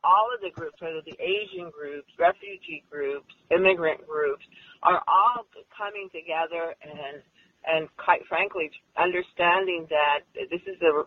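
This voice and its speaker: A woman's voice: on the low side, measured pace, dry recording. A woman leading an advocacy group, speaking emphatically in a phone interview, repeating and restarting words. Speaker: executive director of an advocacy group. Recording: tinny phone line, dry.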